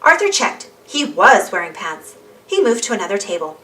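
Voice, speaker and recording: A woman reading a children's storybook aloud in an animated narrating style. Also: medium pitch, moderate pace, far from the mic. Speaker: storybook reader.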